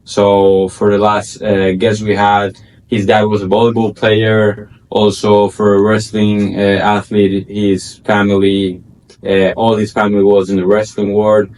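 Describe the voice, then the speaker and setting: Flat-toned male podcast co-host. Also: fairly low pitch, unhurried, low-quality recording. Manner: loose, rambling questions with many likes. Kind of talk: casual interview chat.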